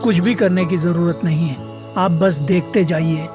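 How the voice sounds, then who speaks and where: A man's voice: fairly high pitch, fairly even intonation, moderate pace, over music, natural voice. A man playing a lazy, complaining young farmer's son in a Hindi cartoon story. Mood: casual.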